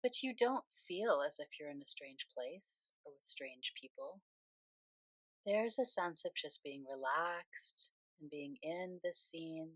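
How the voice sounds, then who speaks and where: A woman leading a guided meditation in a soothing, unhurried way, speaking phrase by phrase with pauses. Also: deep voice, a bit bright, no echo, low-quality recording.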